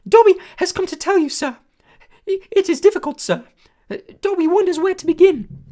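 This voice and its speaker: A woman voicing a tiny, servile male house elf with a high-pitched squeak, groveling and tearful in an audiobook reading. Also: normal speed, mellow. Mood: earnest pleading. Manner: groveling, wailing, overly formal.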